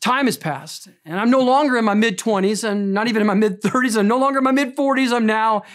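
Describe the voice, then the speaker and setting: Earnest pastor in his fifties, middle pitch. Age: 50s. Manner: earnest storytelling, slows down for emphasis. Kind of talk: sermon.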